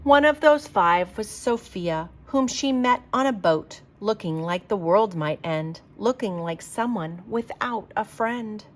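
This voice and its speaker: A woman reading a children's picture book aloud like a teacher, with expressive, emphatic delivery for young listeners. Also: medium pitch, measured pace, hardly any pauses.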